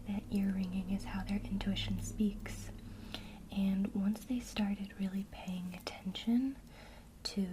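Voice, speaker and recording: A woman whispering softly and breathily in a gentle, encouraging way, as a teacher in an ASMR one-to-one lesson. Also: mid-range voice, a little hiss or hum, low-quality recording.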